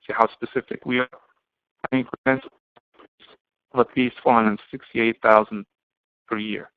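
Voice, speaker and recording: A man giving an explanatory lecture to students as an instructor, speaking haltingly and often posing questions that he then answers himself. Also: mid-range voice, dry recording. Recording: muffled, band-limited, phone-like audio.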